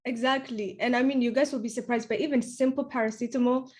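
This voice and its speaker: A woman co-hosting a casual discussion podcast, animated and quick to laugh. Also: fairly high pitch.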